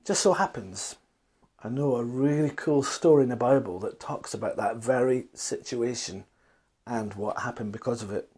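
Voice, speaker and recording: A man telling a children's bedtime story, with dramatic pauses, emphatic repetition and questions to his listeners. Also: medium pitch, a little mellow, husky.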